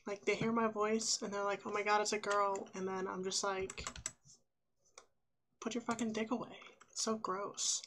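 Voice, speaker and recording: A man's voice: sits high, level tone, normal speed, fairly bright tone. A man using a voice changer to play a gushing, ditzy young woman in a casual prank video chat. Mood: disgusted venting. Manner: valley-girl filler "like", gushing. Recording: noisy webcam chat audio, clicking.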